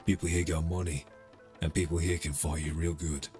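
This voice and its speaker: A man's voice: extremely low pitch, flat delivery, moderate pace, a little mellow, faint music behind. A man playing a cocky, sardonic criminal middleman in a cyberpunk ASMR roleplay, casual and streetwise, teasing as he talks. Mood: businesslike, streetwise.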